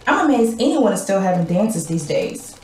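A woman acting a gentle, hopeful refugee in a radio play. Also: mid-range voice, big pitch swings, moderate pace, slight background noise, natural voice. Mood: thoughtful. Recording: echoey room, faint music behind.

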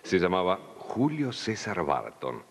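Male Argentine narrator, extremely low and animated, dramatic scripted read, faint noise. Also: measured pace, creaky. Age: middle-aged.

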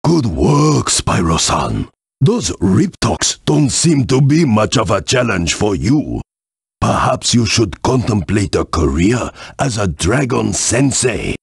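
A man playing an old, wise but bumbling dragon sensei in a video game, with a gravelly, husky voice and a ceremonious manner. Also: on the low side, sing-song, slow pace, no room sound, studio quality, heavily acted. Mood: approving, bumbling. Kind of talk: video game character dialogue.

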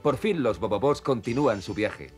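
A man's voice: medium pitch, lively intonation, moderate pace, darkish tone. A man reading scripted narration for a children's cartoon as its storyteller. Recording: music behind the voice.

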